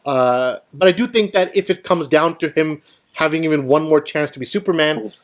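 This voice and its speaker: A man's voice: on the high side, moderate pace. A man hosting a casual film discussion, explaining his view and putting questions to the panel. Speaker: host of a film discussion show.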